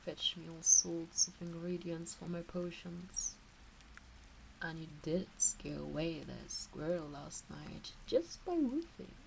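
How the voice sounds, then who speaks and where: A woman voicing a teasing, doting kitsune witch in an intimate ASMR roleplay, with a soft breathy voice that often slips into whispers and coaxing baby-talk. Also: fairly low pitch, animated, slow, slight background noise, exaggerated voice, rough recording. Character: teasing, doting kitsune fox witch fussing over her pet. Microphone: close ASMR microphone.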